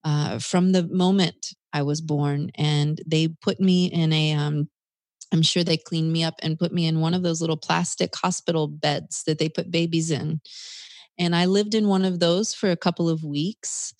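Woman, American-raised, low register, reflective conversational storyteller for an interview, dry studio-like sound. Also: fairly even intonation, normal speed, darkish tone, no room sound. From United States, South Carolina. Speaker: interview guest.

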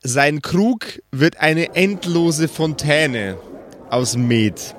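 A man's voice: on the high side, expressive, drawn-out words. A man acting as game master, improvising the narration of a tabletop role-playing game.

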